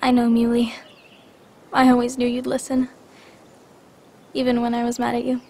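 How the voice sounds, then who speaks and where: A woman's voice: a little high, completely flat delivery, normal speed, darkish tone, a little hiss or hum, lightly acted. A woman playing a grieving, guilt-ridden young girl in a dramatic video game, hesitant and confiding. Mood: regretful, confiding.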